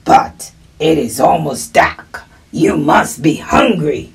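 A woman voicing a big gray male wolf who is calm and helpful, speaking slowly in a quiet, reassuring way. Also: low voice, husky, full character voice. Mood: gentle, calm.

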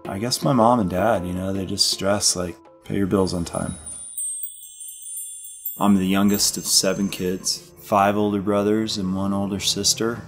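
Low-voiced middle-aged man over music. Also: steady tone, measured pace. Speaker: ski guide. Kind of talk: reflective documentary interview.

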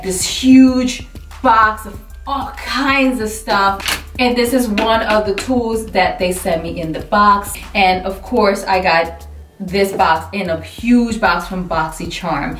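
Animated forty-something woman chatting to camera; roomy, somewhat distant studio sound. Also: mid-range voice. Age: 40s. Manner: chatty and animated, swears mildly, hands moving. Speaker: beauty vlogger. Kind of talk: casual product review to camera. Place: indoor studio, dark backdrop. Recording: roomy, a bit far from mic.

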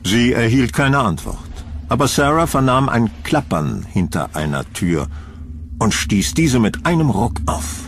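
A man's voice: mid-range voice, very animated, measured pace, slight background noise. A man narrating a scripted horror story as the narrator of an audio drama.

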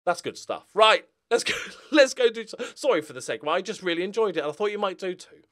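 A man in his forties chatting casually and humorously to viewers as a channel host, animated and jokey. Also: medium pitch. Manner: animated, jokey, sweary, chuckling. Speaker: YouTube gaming channel host. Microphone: desk condenser microphone up close.

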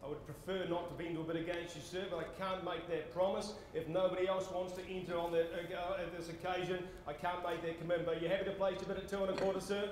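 A man's voice: high-pitched, level tone, fast talker, hardly any pauses. A man in his forties with an Australian accent, calling a live property auction in a theatrical, projecting, courteous manner. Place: on stage in a large indoor conference hall. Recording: echoey conference hall with noise.

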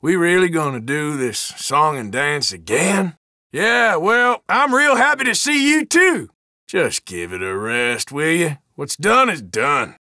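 A man's voice: fairly high pitch, very animated, slow, bright, forceful tone, no echo, studio quality, full character voice. A man playing a suspicious, defiant outlaw in a Western video game, with an American Western accent and a folksy, evasive, surly drawl. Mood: sarcastic, taunting. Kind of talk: video game character voice lines.

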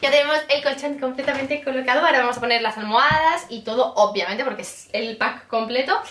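A woman's voice: sits high, fast talker, a bit bright. A woman in her twenties with a Castilian Spanish accent, chatting casually and expressively to camera as a vlogger. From Spain. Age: 20s. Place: parked car and a bedroom.

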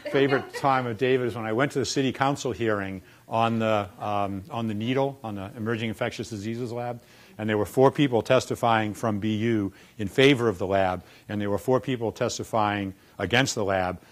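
A man in his sixties from Boston, with a Boston accent, telling wry stories in a relaxed lunchtime talk in a lecture room. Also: fairly low pitch, a little fast. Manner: wry storyteller, quoting people with punchlines. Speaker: former dean giving a talk. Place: dark lecture room in front of chalkboards.

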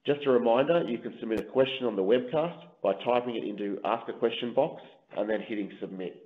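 A man, a company executive, giving a formal, measured, scripted investor presentation over a conference call line. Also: medium pitch, narrow, tinny audio.

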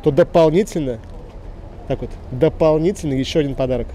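Male street quiz host, thirties, fairly high relaxed voice, comic mock-serious delivery, slangy, outdoor handheld mic. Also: fairly quick, slight background noise. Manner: joking, mock-serious, rambling, crude slang. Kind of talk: casual comic street interview. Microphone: handheld reporter microphone.

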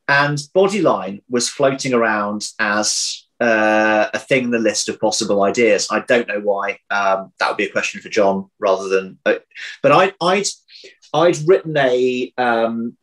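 A man in his thirties chatting casually as an interview guest over a video call, often pausing, repeating words and correcting himself as he thinks. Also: fairly low pitch, fairly bright tone, poor audio. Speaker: interview guest, audio drama writer. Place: indoor room at home. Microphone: video call, computer mic.

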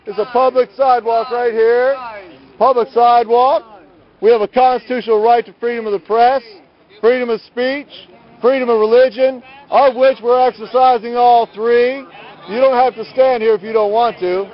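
A male street preacher with a very high-pitched, combative delivery. He scolds and argues loudly in a confrontational preaching style. The audio is a dull, lo-fi outdoor camera recording. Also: fairly even intonation, moderate pace. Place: outdoors at night on a city sidewalk beside a road. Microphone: handheld camera. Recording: muffled lo-fi phone camera audio.

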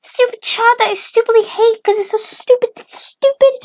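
A little girl acting furious Martian mom, very high shrill voice, loud, repetitive ranting, hateful, improvised story. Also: steady tone, moderate pace, no room sound. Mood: scolding. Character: hateful, screaming Martian mother, endlessly insulting.

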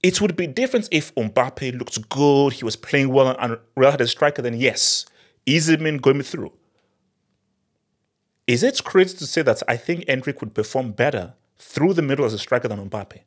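Animated man giving football analysis. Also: mid-range voice, normal speed. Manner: animated, emphatic, repeats words for emphasis. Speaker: football channel host. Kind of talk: post-match analysis monologue. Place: small home studio, indoors. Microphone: studio mic on boom arm.

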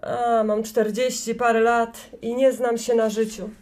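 Radio play part for a woman: self-sacrificing, timid grandmother of forty-something, fairly high voice, unsure and apologising. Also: level tone, measured pace, darkish tone, close to an everyday voice. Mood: apologetic. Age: middle-aged. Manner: hesitant, apologetic. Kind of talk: audio play dialogue.

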